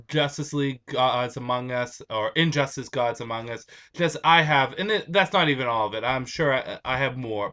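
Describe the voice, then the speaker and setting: Young male vlogger, bright and a touch raspy, nasal from allergies, loose and rambling. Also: mid-range voice. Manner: rambling, restarts. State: stuffed up from allergies. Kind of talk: casual vlog chat. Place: at home.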